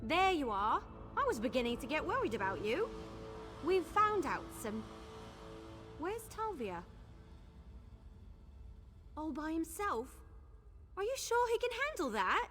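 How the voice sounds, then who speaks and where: Lively, swooping female fantasy ally. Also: high-pitched, unhurried, pauses often, over music. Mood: concerned, wry. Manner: expressive, chatty. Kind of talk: scripted fantasy game acting.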